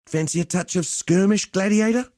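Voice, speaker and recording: An actor playing a roguish, cheerful veteran pit fighter in a video game, talking in a colloquial, wry, swaggering storyteller's way. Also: male voice, sits high, moderate pace, a little mellow, no room sound, clean studio sound, close to an everyday voice. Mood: jovial, inviting. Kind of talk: scripted game dialogue.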